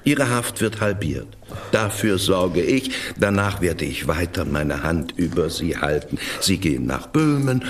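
Casting: a smooth church superior, lively pitch, coaxing yet commanding, faint noise behind. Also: male voice, a little slow. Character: scheming church official, persuasive, commanding. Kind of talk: radio drama acting.

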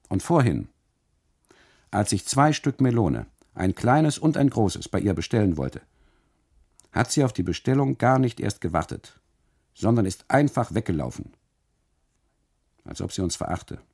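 A man's voice: low voice, big pitch swings, unhurried, gravelly, pauses often, a little mellow, breathy edge, voice pushed up, exaggerated voice. A man voicing a suspicious, easily amused tourist in a radio monologue, emphatic, insistent and derisive. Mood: suspicious, complaining.

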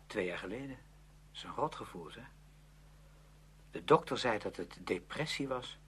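Expressive, bright-voiced moody schoolboy. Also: male voice, lowish voice, moderate pace, breathy edge, heavily acted. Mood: gloomy. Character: moody, confiding teenage schoolboy from the city. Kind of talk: Dutch radio play acting. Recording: hum and faint noise, radio drama recording.

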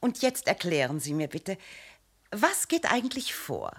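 A poised diplomat's wife who answers with dry irony. Her pitch swings dramatically across phrases. She sounds unimpressed and self-assured. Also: wildly swooping pitch, unhurried, a bit bright, a little hiss or hum, heavily acted. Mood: ironic. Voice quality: ironic, poised. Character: sharp-tongued diplomat's wife, ironic and cool. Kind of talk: radio play acting.

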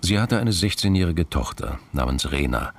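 Very deep, gravelly male narrator. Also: sing-song, moderate pace. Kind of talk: scripted crime drama narration.

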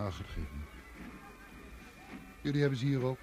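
A man's voice: mid-range voice, big pitch swings, moderate pace, over music, close to an everyday voice. An actor playing a naive, wistful fisherman's son from overseas, speaking simply and earnestly in a radio play. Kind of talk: Dutch radio play acting.

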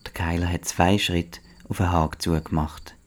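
A man's voice: deep voice, steady tone, normal speed, heavy background noise. A man with a Swiss German accent narrating a scripted children's story.

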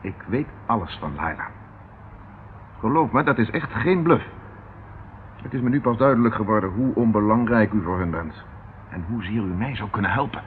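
Actor as a dry police inspector, muffled recording. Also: male voice, on the low side, animated, lightly acted. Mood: firm, composed. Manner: dry, sardonic, measured. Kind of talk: Dutch radio play acting. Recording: muffled, hissy old radio recording.

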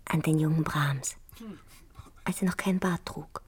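An actress playing a gracious, intense, seductive hostess in a satirical radio play. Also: female voice, deep voice, steady tone, measured pace, very noisy. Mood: hushed, intimate. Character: alluring, intense hostess, gracious and fatefully passionate.

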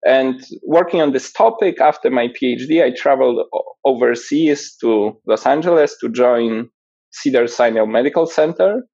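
Polish-born man, husky remote call audio. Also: medium pitch, dark tone, dry recording, muffled recording. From Poland. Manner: measured, technical, with frequent fillers. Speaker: guest researcher. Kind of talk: explaining research in an interview.

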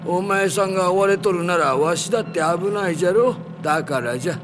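A man voicing a folksy, grumbling old talking dog in an anime, with animated old-man speech. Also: high-pitched, fairly quick, noisy background, natural voice. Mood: grumbling, puzzled. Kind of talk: anime voice acting.

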